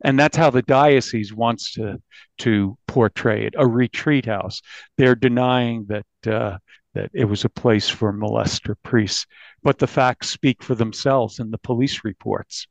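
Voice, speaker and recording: A man's voice: mid-range voice, unhurried, gravelly, dry recording, rough recording. A man being interviewed as a journalist, talking conversationally and often repeating words as he goes.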